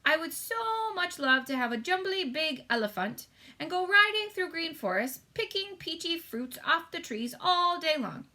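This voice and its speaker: A woman voicing a big, gentle storybook giant who speaks wistfully in muddled, folksy grammar. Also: very high-pitched, sing-song, moderate pace, voice pushed up, exaggerated voice. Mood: dreamy.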